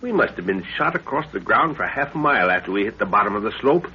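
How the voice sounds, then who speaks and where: A man's voice: on the low side, sing-song, fast talker, slightly rough, heavily acted. An actor playing an eager, authoritative middle-aged scientist explorer and father in a 1930s radio adventure. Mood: absorbed, eager. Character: Lorna's father, excitable older scientist explorer. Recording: scratchy muffled 1930s radio recording.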